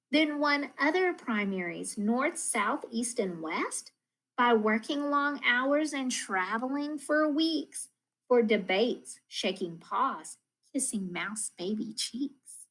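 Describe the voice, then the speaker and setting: A woman reads a rhyming children's storybook aloud with expressive, deliberate, rhythmic phrasing, like a school teacher. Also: on the high side, rough recording. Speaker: school math coach reading aloud.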